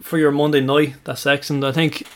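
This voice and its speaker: Irish male podcast host, casual and chatty, quick to laugh, self-correcting. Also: fairly high pitch, flat delivery. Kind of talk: casual chat.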